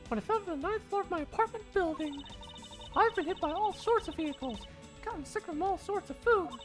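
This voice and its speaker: A flustered, eager young woman guard from a detective game, chirping in a squeaky, soaring voice that leaps all over the place, as a man puts her on in falsetto. She gushes, apologizes and tumbles through her words in a live fan dub. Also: a little fast, faint music behind. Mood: rueful. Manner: high, excitable, breathy falsetto. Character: clumsy, eager young female security guard. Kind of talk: live one-man fandub.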